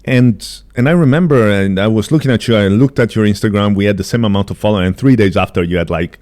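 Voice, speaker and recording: A man hosting a casual podcast interview, asking questions in a halting, chatty way. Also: on the low side, a little mellow. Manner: halting and chatty, with frequent restarts and drawn-out phrasing. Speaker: podcast host.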